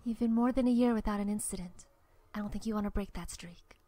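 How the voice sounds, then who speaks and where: Woman doing a wry female spy agent, film fan dub, medium pitch, bit of music behind. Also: quick pace, mellow, lightly acted. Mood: calm, persuasive. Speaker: amateur voice actor in a fan dub.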